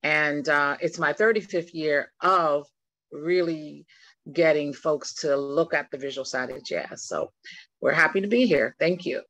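A woman introducing herself at a community meeting on a video call, friendly and brisk. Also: low voice, fairly bright tone, dry recording. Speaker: arts festival founder. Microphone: video call, laptop camera.

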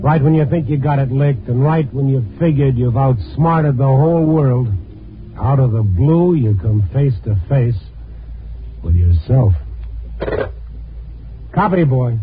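An actor playing a wry, reflective newspaper reporter in a 1950s radio drama. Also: male voice, medium pitch, unhurried, pauses often, lightly acted. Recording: muffled phone-like 1950s radio, music behind.

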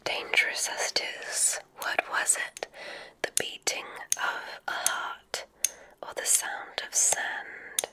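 A woman playing a kindly witch examiner in an ASMR roleplay, whispering in a deliberate, encouraging way with theatrical phrasing. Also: bright, forceful tone. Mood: mysterious, soothing. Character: kindly witch examiner testing a magic school applicant. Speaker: ASMR performer.

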